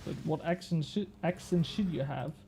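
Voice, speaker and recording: Chatty young Swiss man, mellow. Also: on the high side, moderate pace, vocal fry, a little hiss or hum. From Switzerland. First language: Swiss German. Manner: rambling and self-mocking, swears casually, laughs often. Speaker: game streamer. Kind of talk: casual live-stream chat while gaming.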